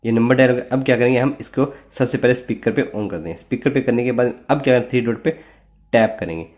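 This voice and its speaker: Male Indian tech tutorial host, medium pitch, informal and instructional, walks through steps with repeated check-ins. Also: fast talker, darkish tone. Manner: instructional, repetitive, punctuated with check-in tags. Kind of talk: informal step-by-step phone tutorial voice-over.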